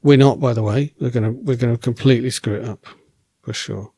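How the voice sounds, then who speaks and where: A man thinking aloud while writing code as a programming tutor, relaxed and wry, correcting himself as he goes, and losing his voice. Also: medium pitch, brisk, breathy edge, no room sound.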